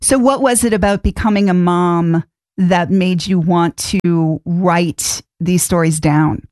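A woman's voice: fairly low pitch, takes it slowly, darkish tone, no echo. Woman interviewer with a dry sound. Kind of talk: casual interview.

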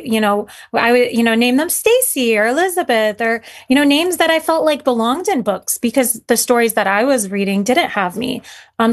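A young woman author from Syria, answering interview questions earnestly with many "you know"s. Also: fairly high pitch. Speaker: author guest.

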